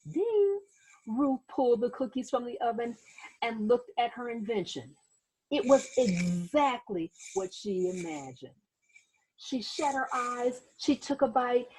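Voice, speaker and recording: A woman reads a picture book aloud with a lively, swooping delivery and a slightly husky edge to her voice. She performs the story dramatically, in the manner of a teacher speaking to young children, and turns to her listeners with asides. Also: a little high, poor audio. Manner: animated, dramatic, acts out sound words and exclamations.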